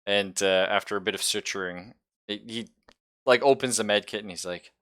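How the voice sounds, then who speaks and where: A man's voice: on the low side, brisk, fairly bright tone, no echo, studio quality. A man casually narrating a tabletop roleplaying game as game master, slipping into characters' lines and swearing.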